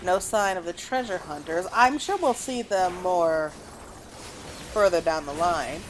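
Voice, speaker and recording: A woman's voice: on the low side, animated, a little slow, a little hiss or hum, poor audio. A woman giving casual let's play commentary as a game streamer, thinking aloud and reacting as she plays.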